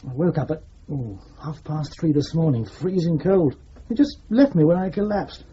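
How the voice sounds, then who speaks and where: An actor playing a fragile, hungover, self-pitying junior intelligence officer, plaintive and rueful in a radio drama. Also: male voice, fairly high pitch, lively intonation, moderate pace, dark tone, natural voice. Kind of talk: broadcast radio drama acting. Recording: muffled radio recording, faint hiss.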